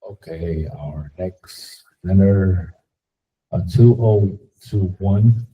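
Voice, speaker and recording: A man's voice: low voice, slow. A man, a class instructor, giving casual, hedging feedback on student work with lots of fillers. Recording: tinny phone-like sound.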